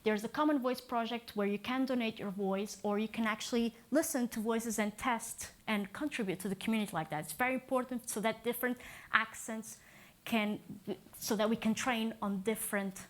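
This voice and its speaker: A woman's voice: a little high, normal speed. A young woman from Portugal, giving a chatty, upbeat talk at a tech meetup, often laughing at herself. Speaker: conference speaker.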